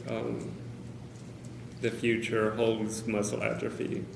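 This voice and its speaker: Low, gravelly-voiced man pausing often. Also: monotone. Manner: hesitant, many pauses and fillers, candid. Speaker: speaker at a caregivers' meeting. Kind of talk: personal talk to a small group. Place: meeting room with a group of about 45 people. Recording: roomy, noisy meeting-room recording.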